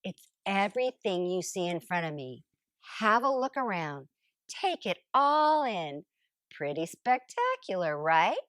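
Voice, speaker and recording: A woman voicing a smug, lazy couch potato in an expressive, theatrical storybook read-aloud. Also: mid-range voice, dry recording. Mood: smug, boastful. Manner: theatrical, exclamatory, drawn-out emphasis. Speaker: storybook narrator character. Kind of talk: expressive first-person picture book read-aloud.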